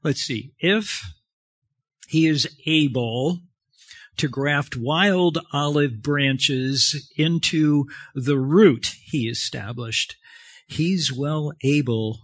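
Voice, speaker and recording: A man preaching a sermon in a halting, conversational way, pausing often. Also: medium pitch, husky, no echo.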